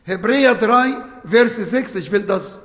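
A man whose first language is Arabic, preaching a sermon in slow, emphatic phrases with non-native grammar. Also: high voice, a bit bright. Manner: slow, emphatic phrasing with short broken clauses. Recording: echoey hall.

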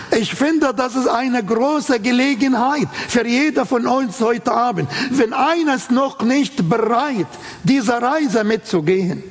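A man's voice: extremely high pitch, long reverb tail. A man with an Arabic accent preaching an earnest sermon in a church setting, quoting scripture with emphasis.

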